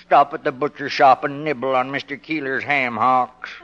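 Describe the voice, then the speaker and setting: A man's voice: medium pitch, steady tone, moderate pace, a bit bright, lightly acted. A man playing a wounded, grumbling small-town horse owner in 1950s radio comedy, mournful and reproachful. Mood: hurt, reproachful. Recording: dull vintage 1950s radio recording.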